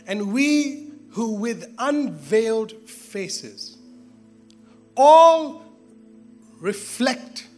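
A man preaching a sermon with emphatic repetition, rising to exclamations and dropping to hushed, intense tones. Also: high-pitched. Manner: emphatic, repeats phrases, drops to hushed tones.

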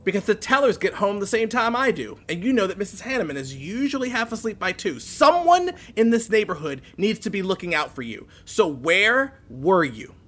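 An actress playing a worried, protective guardian in an audio drama, speaking in a stern and earnest way. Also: female voice, mid-range voice, big pitch swings, normal speed, few pauses, fairly bright tone, husky. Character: protective, worried adult guardian of a teenage girl. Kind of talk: naturalistic audio drama acting.

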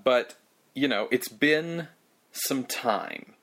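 A man's voice: medium pitch, lively intonation, drawn-out words, a bit bright. A man co-hosting a casual podcast, talking in an easygoing way with frequent hesitant fillers. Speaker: podcast co-host.

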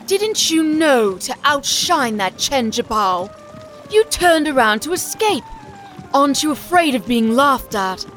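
A man reading an audiobook and voicing a sharp-tongued, fiery young woman who snaps and scorns. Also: very high-pitched, expressive, takes it slowly, faint music behind, pitched above the performer's own voice, full character voice. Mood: scornful. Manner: scornful, snapping.

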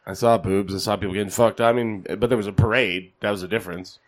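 A man co-hosting a casual chat show, raspy from being ill, swearing freely and joking around. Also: fairly low pitch, fairly even intonation, quick pace. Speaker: podcast co-host. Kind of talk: casual banter.

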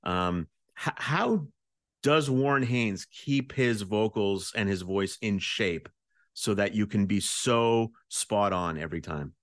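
A man's voice: fairly low pitch, animated, slow, fairly bright tone, no echo, studio quality. A man interviewing a musician, asking enthusiastic questions full of praise and starting with "um".

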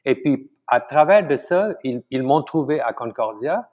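Middle-aged man, Malaysian accent, Tamil native speaker, guest chef; halting, filler-heavy, chuckling delivery, mid-range pitch. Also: animated, darkish tone. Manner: halting, many fillers and restarts, chuckles often. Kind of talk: casual conversational interview.

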